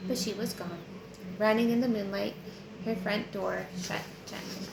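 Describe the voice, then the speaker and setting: A woman's voice: mid-range voice, fairly even intonation, unhurried. A woman reading a novel aloud as narrator, in a storytelling audiobook style. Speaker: book reader. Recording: roomy echo with clear background noise.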